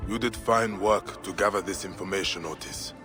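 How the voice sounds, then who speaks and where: A man acting a grim but kind Egyptian warrior and protector in a video game, speaking in a measured, formal way. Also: low-pitched, over music, lightly acted. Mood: solemn vow.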